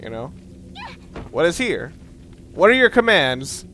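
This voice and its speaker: A man's voice: a little high, sing-song, a little slow, bright, forceful tone. A man giving casual, unscripted video game commentary in a rambling, sweary, slangy way. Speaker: let's play commentator. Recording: clear background noise.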